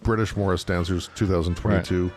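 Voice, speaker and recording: A man chatting casually as a podcast host, in a hesitant, halting way. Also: low-pitched, steady tone, a little mellow, soft background music. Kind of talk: casual fan podcast chat.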